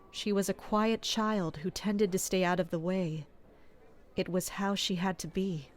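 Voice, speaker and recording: A guarded, watchful palace servant , acted by a woman, narrates a fantasy audio drama. The voice sits mid-range, serious and measured, with music faintly behind it. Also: mid-range voice, close to an everyday voice. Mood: wary, reflective. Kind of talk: scripted first-person audio drama narration.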